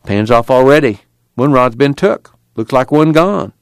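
A man's voice: mid-range voice, lively intonation, measured pace, clear, resonant tone, dry recording, pitched above the performer's own voice. A man voicing a brash, impatient young working man with folksy, blunt, profane rural speech in an audiobook. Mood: matter-of-fact. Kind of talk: acted audiobook dialogue.

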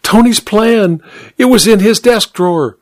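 A man's voice: sits high, measured pace, dry recording, clean studio sound, pitched above the performer's own voice. A man voicing an emotional, urgent housemaid, a woman in a mystery story. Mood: tearful, excited. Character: tearful young housemaid bursting with urgency, a woman.

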